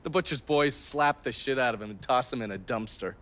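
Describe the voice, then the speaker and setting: A man's voice: medium pitch, a little fast, a bit bright, close to an everyday voice. An actor playing a sardonic informant in a video game, swearing as he relishes telling colourful gossip. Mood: amused, mocking. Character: wry, foul-mouthed informant, relishing his gossip. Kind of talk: video game cutscene acting.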